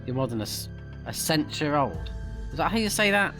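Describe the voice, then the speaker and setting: A man's voice: on the high side, sing-song, fairly quick, music in the background. A man hosting a let's play, mixing playful, reactive commentary with reading the game's text aloud.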